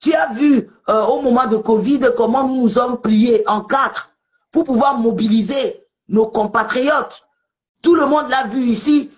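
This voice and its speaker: A woman's voice: a little high, unhurried. A woman from Togo hosting a casual live debate, chatty and emphatic, often repeating herself. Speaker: live show host.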